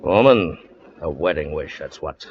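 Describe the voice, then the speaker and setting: An actor playing a swaggering, menacing yet lovestruck pirate captain in old-time radio drama, with theatrical, archaic, grandiose phrasing. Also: male voice, extremely low pitch, animated, a little slow, slightly rough, full character voice. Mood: indulgent, ardent. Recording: dull, muffled old radio recording.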